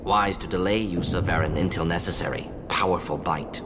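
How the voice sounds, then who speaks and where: An actor playing a clinical alien scientist in a video game, speaking analytically in clipped, telegraphic phrases. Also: male voice, low-pitched, fairly even intonation, fairly quick, background noise, natural voice. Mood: brisk, analytical. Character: clinical, brisk male alien scientist with firm ethics. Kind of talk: video game character dialogue.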